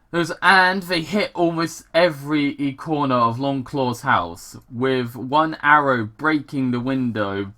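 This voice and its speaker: A host reading fan fiction aloud in a casual dramatic style, halting and excitable, breaking off into rambling, self-deprecating commentary. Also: mid-range voice.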